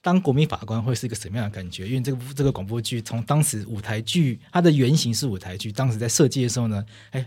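A man's voice: mid-range voice, darkish tone, husky, dry recording. A man with a Taiwanese Mandarin accent hosting a podcast in a conversational style. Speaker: podcast host.

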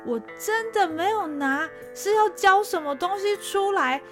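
A woman voicing a defiant, sharp-tongued young boy thief who protests indignantly. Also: very high voice, expressive, unhurried, faint music behind, heavily acted. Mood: protesting. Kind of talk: radio drama acting.

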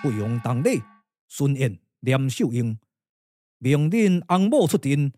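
A man voicing a calm, confident elder general with a Taiwanese accent, in measured, formal puppet-drama declamation. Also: medium pitch, heavy background noise. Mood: commanding, reassuring. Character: calm, confident strategist general Sun Bin, an elder uncle.

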